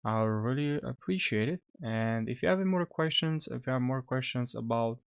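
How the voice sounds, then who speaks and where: Male tutorial host, mid-pitched, informal and a little hesitant, close dry voice-over. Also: expressive, moderate pace, vocal fry, clear, resonant tone, no echo, muffled recording. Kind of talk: casual software tutorial narration.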